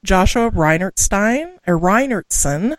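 A woman from Southwest Missouri with a local accent, co-hosting a podcast, chatting casually, laughing often and rushing through lists of names. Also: mid-range voice, expressive, no echo. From Southwest Missouri, USA. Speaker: podcast co-host. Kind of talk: casual chat and reading listener names.

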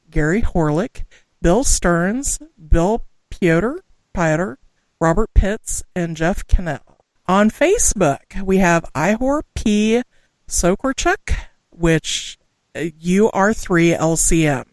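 A woman from Southwest Missouri with a local accent, co-hosting a podcast, chatting casually, laughing often and rushing through lists of names. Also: lowish voice, no echo. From Southwest Missouri, USA. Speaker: podcast co-host. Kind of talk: casual chat and reading listener names.

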